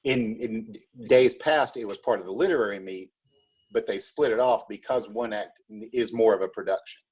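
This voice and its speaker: A man being interviewed as a school drama club director, explaining in a casual, halting way. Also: lowish voice, darkish tone, breathy edge, low-quality recording.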